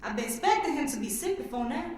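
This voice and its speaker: Teen girl acting a caring southern country wife, highish voice, big echo from the hall. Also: lively intonation, fast talker, darkish tone. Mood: worried, explaining. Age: teenager. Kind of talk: dramatic reading on stage. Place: public reading in a hall. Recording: very echoey hall, faint noise.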